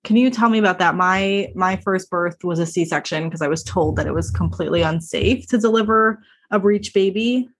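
A woman hosts a podcast and puts attentive, soft-spoken questions to her guest. Her voice sits a touch lower than most women's. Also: moderate pace. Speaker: podcast host. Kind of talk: interviewing a guest.

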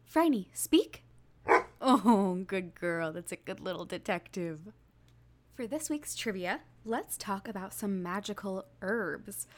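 A woman delivers a podcast trivia segment in a conversational, scripted style. Her voice sits at an average height and moves with lively, varied intonation. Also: mid-range voice, slow, a little hiss or hum.

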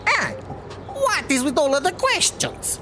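A woman's voice: high-pitched, sing-song, unhurried, noisy background. A woman playing a flamboyant, melodramatic alien with broken English in a sci-fi comedy audio drama. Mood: whiny, defensive.

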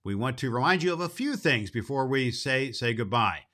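A man playing animated, jolly old Santa Claus. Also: medium pitch, moderate pace. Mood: cheerful, promotional. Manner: folksy, rambling, emphatic, repeats himself. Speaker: show host. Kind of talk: children's show talk.

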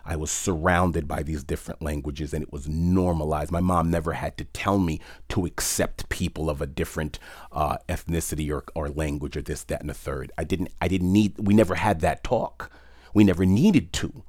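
Man from New York, French his first language, very low voice, dry close sound, reflective storyteller. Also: a little mellow, dry recording. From New York City. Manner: reflective, measured, conversational with fillers. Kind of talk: personal storytelling talk.

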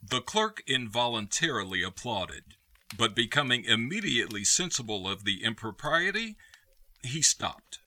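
An actor narrating a classic Christmas tale in a radio play, with measured literary delivery. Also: male voice, medium pitch, sing-song, pushed and bright.

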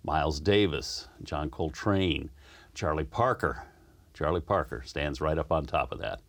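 A man with a slight Southern American accent, a guest telling easygoing, rambling stories in a relaxed interview. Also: a bass voice, a little slow. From United States.

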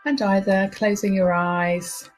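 A woman leading a guided relaxation meditation, giving soothing, unhurried instructions with pauses. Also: medium pitch, flat delivery. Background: music behind the voice.

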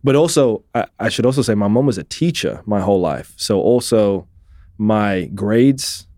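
British man, 30s, low and warm, dry close mic; open, reflective guest. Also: normal speed, no room sound. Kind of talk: conversational interview.

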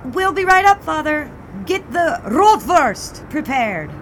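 A teasing bossy 1920s lady editor in a radio drama, very lively pitch, car noise around. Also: slow pace, full character voice. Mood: brisk, commanding. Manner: arch, playfully commanding. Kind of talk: period audio drama dialogue.

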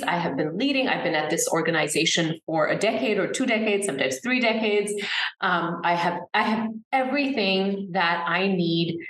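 A woman's voice: fairly low pitch, a bit bright, roomy sound, a little hiss or hum, low-quality recording. A woman, an author and consultant, talking earnestly as an interview guest, with frequent restarts and emphatic repetition. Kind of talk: conversational interview talk.

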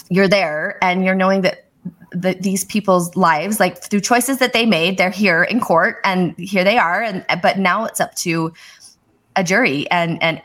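A woman's voice: fairly low pitch, fairly bright tone. A woman hosting a podcast, chatting casually in a bubbly way and laughing easily. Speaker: podcast host. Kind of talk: casual interview chat.

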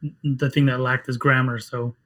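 A man whose first language is Spanish, a game developer talking casually in an interview, halting with many restarts and fillers. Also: medium pitch, level tone, fairly bright tone.

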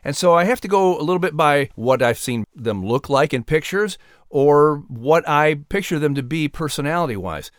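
A man's voice: fairly high pitch, lively intonation, moderate pace, dry recording. Male announcer with a dry, close sound. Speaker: announcer and audiobook voice actor. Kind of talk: conversational interview.

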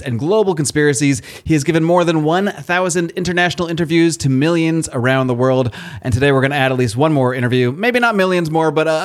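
A man hosting a podcast, talking in a chatty, informal, conversational way as he addresses listeners and guests. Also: a little high. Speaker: podcast host. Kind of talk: conversational podcast intro and interview.

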